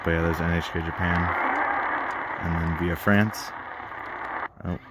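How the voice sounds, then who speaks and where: A man's voice: deep voice, level tone, unhurried. A man giving casual, rambling and frustrated commentary as a radio hobbyist, recorded outdoors in a backyard. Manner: frustrated, grumbling about interference. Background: shortwave radio noise and signals.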